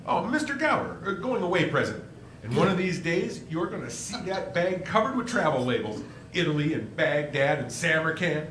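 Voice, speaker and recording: Actor as bright, boyish, eager young man. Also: on the high side, big pitch swings. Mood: excited. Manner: boyish, enthusiastic, halting. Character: eager restless young man dreaming of travel. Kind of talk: live radio play acting. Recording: echoey room, faint noise.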